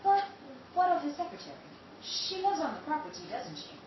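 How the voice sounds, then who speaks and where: A woman's voice: sits high, very animated, fairly quick. A woman playing a genteel, insinuating co-conspirator in an audio drama, with an affected theatrical delivery. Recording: very echoey, muffled, noisy distant recording.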